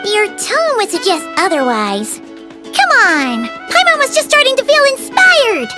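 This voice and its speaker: A woman voicing a tiny, excitable childlike fairy companion in a video game, with squeaky and animated delivery. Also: a little slow, loud music behind the voice, exaggerated voice. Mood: indignant. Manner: speaks of herself in the third person, animated. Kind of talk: video game character acting.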